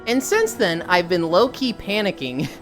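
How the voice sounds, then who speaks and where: A woman's voice: lowish voice, very animated, normal speed, soft background music. A woman streaming casually, rambling through stories, swearing and laughing easily. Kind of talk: casual chat while streaming.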